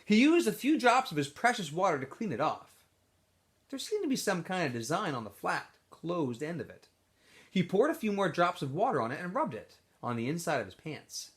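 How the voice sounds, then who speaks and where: Animated high-voiced man reading a story aloud. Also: normal speed. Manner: animated, with asides to listeners. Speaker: teacher reading aloud to students. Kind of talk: expressive storybook read-aloud.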